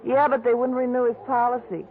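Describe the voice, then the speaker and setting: An actress playing a tipsy, flirty gangster's wife who rambles and slurs in a 1940s radio drama. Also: female voice, on the high side, flat delivery, a little fast, slight background noise, natural voice. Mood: tipsy, rambling. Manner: chatty, wandering, stumbling over words. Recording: muffled vintage radio recording with music.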